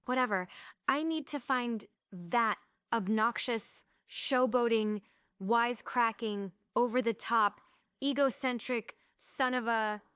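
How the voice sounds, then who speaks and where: A headstrong, wounded stage heroine, played by a woman at a table read, with a bright, clear, fairly high voice. She is sarcastic and dramatic. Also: slow, no room sound, studio quality.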